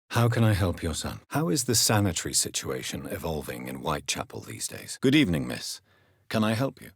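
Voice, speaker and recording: A man playing a grave, educated English gentleman doctor turned vampire in a video game, speaking formally and earnestly in a low, husky voice. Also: no room sound, studio quality, heavily acted. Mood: courteous. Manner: formal, measured, earnest phrasing. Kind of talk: video game character dialogue.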